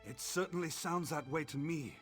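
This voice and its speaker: An actor playing an anxious, protective brother in a fantasy video game, speaking earnestly and with urgency. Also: male voice, fairly high pitch, moderate pace, fairly bright tone, faint music behind, close to an everyday voice. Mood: worried, earnest. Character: anxious, protective Nord brother searching for his sister.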